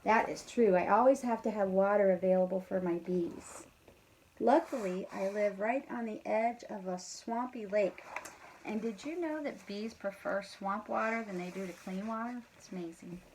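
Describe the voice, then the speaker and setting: A woman reading a children's story aloud in an expressive, encouraging way, talking directly to young listeners with casual asides. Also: mid-range voice, normal speed, slight background noise, low-quality recording. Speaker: teacher reading a bedtime story to pupils.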